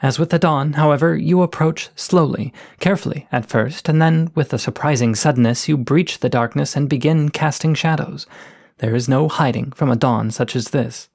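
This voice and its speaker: A man voicing a playful, flirtatious poet coyote of low station, reading florid love letters in a lyrical, teasing way. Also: moderate pace, creaky, darkish tone. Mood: lyrical longing.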